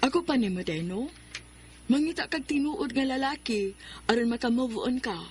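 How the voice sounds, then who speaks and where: A woman's voice: fairly high pitch, animated, moderate pace, background noise, lightly acted. A woman playing a chatty, encouraging female best friend in a radio drama. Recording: radio drama with music bed.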